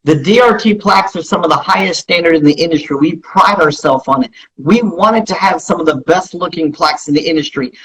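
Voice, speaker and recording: A man's voice: a little high, brisk, fairly bright tone, a little hiss or hum, poor audio. A man speaking emphatically as an interview guest, repeating key phrases to promote his business.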